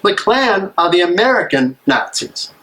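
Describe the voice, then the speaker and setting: A man acting an earnest, weary American minister of the 1930s in a live dramatic reading, speaking emphatically and deliberately. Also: high voice, very animated, pushed and bright, full character voice, poor audio. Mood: aggrieved, earnest. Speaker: actor in a dramatic play reading.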